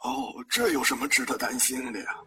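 An actor playing a timid servant who speaks in a hushed, hesitant, deferential voice in a comic audio drama. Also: male voice, medium pitch, big pitch swings, brisk, creaky, heavily acted. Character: timid male servant soothing his master. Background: background music.

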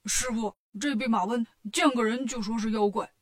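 A woman voicing a simple, good-natured, lazy male pig disciple in a blunt, drawn-out manner for a story. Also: on the high side, lightly acted. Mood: grumbling.

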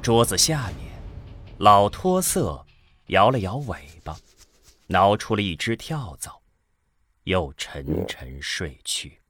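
A man's voice: fairly low pitch, very animated, takes it slowly, a bit bright, no room sound. A man giving dramatic scripted narration for a science fiction radio drama. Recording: music under the voice.

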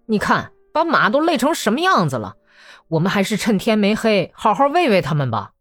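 A woman's voice: medium pitch, sing-song, brisk, dry recording, faint music behind, pitched above the performer's own voice. A woman voicing a weary male soldier orderly who grumbles and pleads, raising her voice above her usual tone. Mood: grumbling, exasperated. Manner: complaining, pleading.

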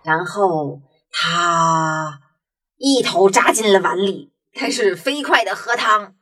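A woman narrating a children's story in an expressive storytelling manner. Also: on the low side, slow pace, breathy edge. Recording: music behind the voice.

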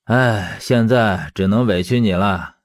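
A man's voice: fairly low pitch, measured pace, lightly acted. A man voicing a hot-tempered, righteous young former auxiliary policeman in an audiobook, blunt and aggrieved in manner. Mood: earnest. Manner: blunt, indignant, swearing.

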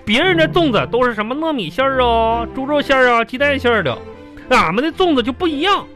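A man's voice: very high-pitched, lively intonation, quick pace, sharp tone, exaggerated voice. A man acting a stingy, scheming small-eatery boss in a comic radio drama, with a Northeastern Mandarin accent and a grumbling, exclamatory, self-important manner. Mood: boastful. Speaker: comedy radio show performer. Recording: music playing behind the voice.

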